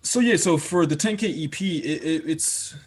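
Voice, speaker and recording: A man's voice: fairly high pitch, moderate pace, breathy edge. A young man from Washington, D.C., a rapper and producer, talking reflectively as a podcast guest, often restarting and repeating words. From Washington, D.C., USA. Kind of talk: podcast interview.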